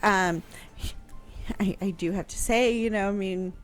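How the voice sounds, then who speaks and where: A woman's voice: medium pitch, a little slow, faint music behind. A woman explaining history in casual conversation on a radio show, piecing her sentences together hesitantly. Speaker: history show host answering questions.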